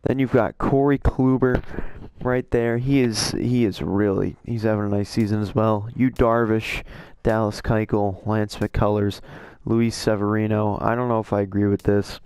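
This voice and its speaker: A man with a hoarse, slightly worn-out voice gives casual, rambling commentary as a YouTube creator, often correcting himself mid-sentence as he shares his opinions. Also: lowish voice, level tone, moderate pace, a little mellow, no room sound. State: hoarse, voice a little shot. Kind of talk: casual commentary over an on-screen roster.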